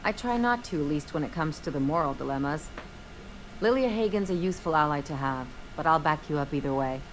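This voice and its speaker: A cynical but loyal woman companion in a video game. Her voice runs slightly low and swings freely in pitch, casual and sardonic. Faint background ambience is audible. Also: lively intonation, brisk, a little hiss or hum, rough recording. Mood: wry, supportive. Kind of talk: video game dialogue acting.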